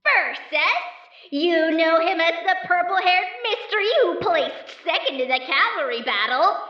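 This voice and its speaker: Woman doing a loud excited sports announcer guy, slow showman intros, booming echo, sounds far off and muffled. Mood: hyped showman. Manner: hyped, drawn-out showman delivery. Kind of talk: stadium match announcing. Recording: echoey, distant, muffled stadium PA sound.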